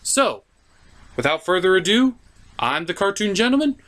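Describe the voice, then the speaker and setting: Male comedic review host, slightly high voice with very lively swings, sarcastic and theatrical. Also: moderate pace. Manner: theatrical, sarcastic delivery with mild swearing. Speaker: host of a puppet movie review show. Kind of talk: comedic scripted movie recap and review.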